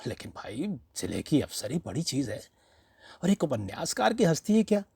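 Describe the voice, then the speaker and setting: A male storyteller with a slightly high, husky voice, recorded close and dry. He reads in an expressive, conversational manner, acting out the rhetorical turns of the narrative. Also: moderate pace, dry recording. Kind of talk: expressive audiobook-style storytelling.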